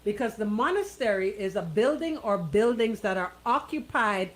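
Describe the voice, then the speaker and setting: A woman's voice: mid-range voice, animated, moderate pace, over a noisy crowd, low-quality recording. A woman co-hosting casual radio banter, explaining things in a teasing, insistent way. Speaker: radio co-host.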